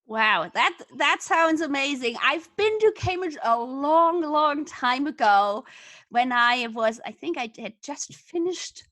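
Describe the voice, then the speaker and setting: High-voiced, upbeat woman podcast host. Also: lively intonation, slow, pushed and bright, dry recording. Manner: upbeat, enthusiastic. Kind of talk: scripted intro, then casual interview. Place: Vancouver, Canada.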